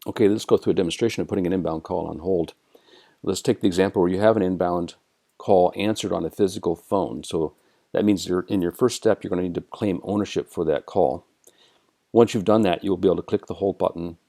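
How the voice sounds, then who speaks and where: An American man narrating an instructional software screencast in a methodical, step-by-step way. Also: low-pitched, steady tone, fairly quick. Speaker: software tutorial presenter.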